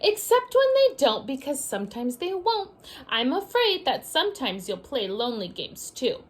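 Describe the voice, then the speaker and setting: Woman, schoolteacher, high-pitched, swooping lively intonation, children's read-aloud style, addressing kids directly. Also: normal speed, a little hiss or hum. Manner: animated, addresses children directly with questions and asides. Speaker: teacher reading a story aloud to young pupils.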